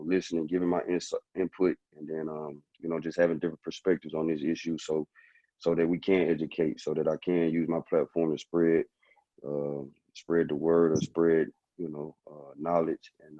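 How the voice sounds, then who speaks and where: Very deep, quiet man, dull recording. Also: no echo. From Alabama. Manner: quiet, halting, many fillers. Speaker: football player.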